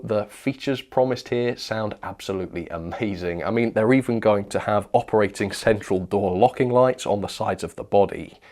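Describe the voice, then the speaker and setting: Low-pitched man presenting hobby news. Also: darkish tone. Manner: enthusiastic, chatty, opinionated. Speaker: presenter of a model railway news show. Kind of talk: informal product news review to camera or as voice-over.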